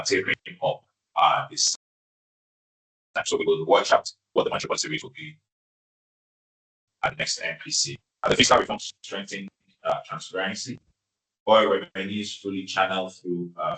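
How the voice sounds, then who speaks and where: A man, speaking as an executive presenting financial results in a formal, halting, measured way. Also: sits low, fairly bright tone. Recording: distant, echoey conference call audio.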